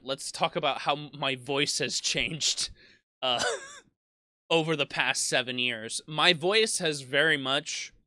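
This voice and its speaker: A young man in his twenties talks through his memories in a loose, rambling way, often restarting his sentences and poking fun at himself. His voice is bright and forward, and the sound is crisp and smooth. The recording is dry and close. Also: medium pitch, no room sound. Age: 20s. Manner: self-deprecating. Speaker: YouTube gaming creator. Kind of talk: casual reflective monologue.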